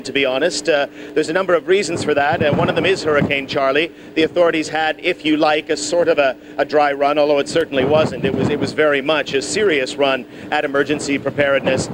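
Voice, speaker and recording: A man's voice: fairly high pitch, steady tone, fast talker, hardly any pauses. A man giving a live, unscripted news report from outdoors, explaining things as he goes and correcting himself. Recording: outdoor location report with clear noise.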